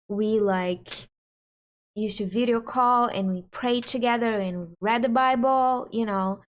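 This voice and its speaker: Woman, english, casual chat, laughing, middle pitch. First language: Portuguese. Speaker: channel host.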